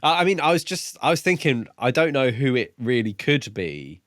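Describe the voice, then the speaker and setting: A man co-hosting a book podcast, talking casually in a measured, reflective way with tentative phrasing. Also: medium pitch, very animated, no echo, clean studio sound.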